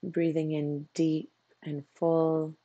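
A meditation coach guiding a practice in a soothing way, with unhurried phrases, long pauses and a husky, gravelly voice. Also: mid-range voice, completely flat delivery, dark tone, dry recording, highs cut off. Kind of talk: guided meditation.

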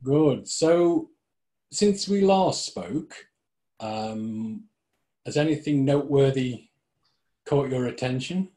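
Slow, measured male podcast host. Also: medium pitch, lively intonation, dark tone. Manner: unhurried, measured, with frequent ums. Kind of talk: hosting a community podcast.

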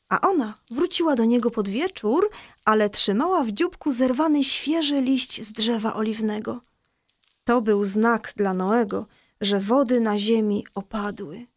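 A woman playing a patient mother who explains a Bible story to children in a children's audio drama, reassuring and clear. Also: a little high, a little slow, no room sound. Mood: patient, explaining.

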